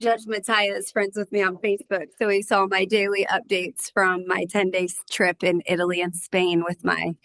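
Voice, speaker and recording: Raspy-voiced woman giving a meeting update. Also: medium pitch, flat delivery, normal speed, hardly any pauses, a bit bright, low-quality recording. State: jet-lagged. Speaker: staff presenter.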